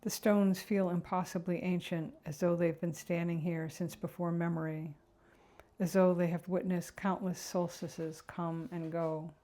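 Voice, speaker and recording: Low, mellow woman guiding a meditation. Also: flat delivery. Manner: gentle, unhurried, reflective delivery. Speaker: meditation guide. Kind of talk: guided meditation narration.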